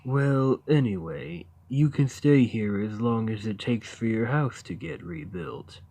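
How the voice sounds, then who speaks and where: Male actor as friendly cave-dwelling giant for ASMR roleplay: very slow delivery, mid-range pitch, awkward, naive, earnest. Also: animated. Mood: awkwardly optimistic. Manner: hesitant, earnest, gently upbeat, slow. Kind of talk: ASMR roleplay, acted monologue to the listener.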